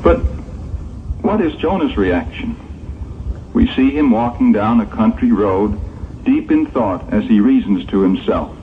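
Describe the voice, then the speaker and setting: A man's voice: fairly low pitch, animated, a little slow, long pauses. A man giving formal, earnest, emphatic religious narration in a sermon-like style. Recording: old 1960s tape, phone-like band, faint noise.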